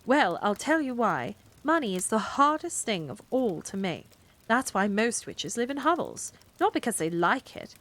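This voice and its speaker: A woman's voice: a little high, very animated, moderate pace, fairly bright tone. A woman voicing a prim, easily flustered middle-aged witch who snaps when pressed.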